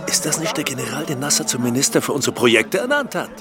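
Jovial middle-aged engineer, lively swoops, loud, familiar, radio play, music behind. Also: male voice, mid-range voice, fast. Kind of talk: radio drama acting.

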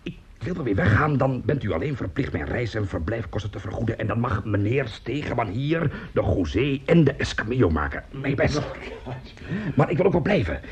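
An actor playing a temperamental, vain male opera singer in a radio play, hoarse, haughty and theatrical. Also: mid-range voice, very animated, fairly quick. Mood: pleading, proud.

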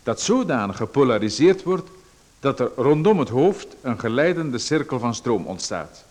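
An actor playing a pushy male salesman, lecturing smoothly and persuasively about a dubious hair machine. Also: mid-range voice, sing-song, moderate pace, a little mellow. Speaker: radio drama actor. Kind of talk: radio play acting.

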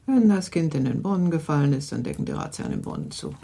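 A woman playing a middle-aged, reproachful mother and wife in a German radio play, husky, creaky and pointed. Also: low voice, wildly swooping pitch, fast, dark tone, full character voice. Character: older mother, reproachful wife worried for her daughter.

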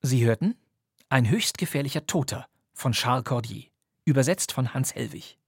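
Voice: medium pitch, expressive, moderate pace, slightly rough, no room sound, clean studio sound. An announcer reading scripted closing credits for a radio play.

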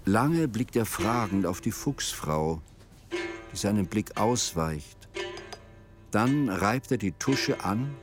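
A man's voice: fairly low pitch, animated, slow, over music. A man reading scripted narration for a radio drama.

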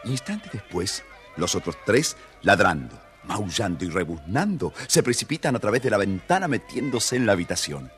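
A man's voice: fairly low pitch, very animated, moderate pace, fairly bright tone. A man narrating a children's story playfully, addressing young listeners as storyteller.

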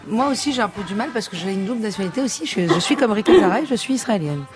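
A woman's voice: medium pitch, animated, very fast, loud music behind the voice. A woman singer, a guest on a radio show, chatting casually, rambling and searching for words.

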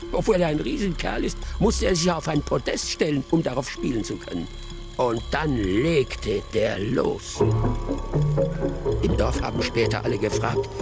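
A village storyteller in a radio play, his voice creaky and swinging widely as he spins old tales. He is vivid and dramatic, with music playing behind him. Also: mid-range voice.

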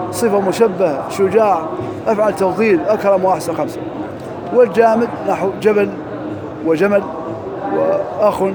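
A man teaching Arabic grammar in a mosque, drilling through examples quickly and reciting verses slowly. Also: high voice. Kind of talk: lesson parsing grammar and verse.

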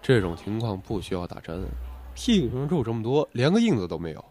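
A man's voice: on the low side, sing-song, fairly quick, a little mellow, no echo. A man playing a sarcastic, officious doctor in an audio drama, lecturing matter-of-factly with mock seriousness. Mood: dismissive. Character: sarcastic male doctor, glibly alarming, officious. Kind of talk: Chinese audio drama acting.

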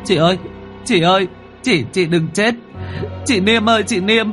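A man's voice: sits high, normal speed, fairly bright tone, faint music behind, pitched above the performer's own voice. A man voicing a grief-stricken younger brother, crying out and pleading in a spoken story. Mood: wailing grief. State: crying, wailing.

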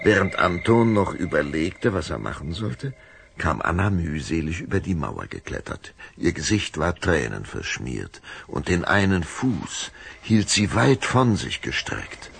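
A man narrating a scripted children's audio drama in a dramatic, expressive storytelling manner. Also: sits low, unhurried, creaky.

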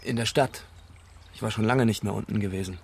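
Young English witness, crackly low voice, thoughtful delivery, radio drama, faint noise. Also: male voice, a little fast, vocal fry. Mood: reflective, measured. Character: young Englishman, dying uncle's observant great-nephew, calmly forthcoming. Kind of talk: naturalistic German radio crime drama acting.

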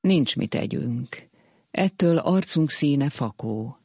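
A man voicing a hungry, complaining old woman from an ancient comedy in a slow dramatic verse recitation. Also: fairly high pitch, animated, gravelly, a little mellow, husky, no room sound, studio quality, heavily acted. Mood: plaintive complaining.

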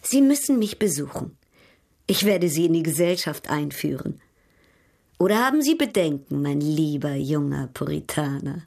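An actress playing a worldly, teasing older baroness in a radio drama, polished, condescending and coquettish. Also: female voice, sits low, big pitch swings, moderate pace, gravelly, darkish tone, exaggerated voice. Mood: condescending, instructive. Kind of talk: German radio drama acting.

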